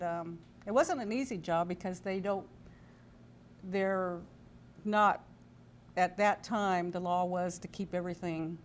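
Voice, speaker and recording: A woman in her sixties telling a personal story as an interview guest, halting and conversational. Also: mid-range voice. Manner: halting, conversational, voices quoted dialogue. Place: indoor TV studio-style set at a convention. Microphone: lapel mic. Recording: background noise and room ambience.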